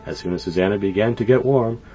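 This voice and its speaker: A man reading a children's story aloud in an animated, expressive way. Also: lowish voice, quick pace. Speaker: storybook reader. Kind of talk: scripted children's story narration. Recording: dull lo-fi sound. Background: light music.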